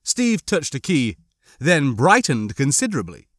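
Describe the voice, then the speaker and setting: A man's voice: medium pitch, very animated, measured pace, a bit bright, dry recording, clean studio sound. A man narrating a horror novel as an audiobook, reading a dramatic scripted story.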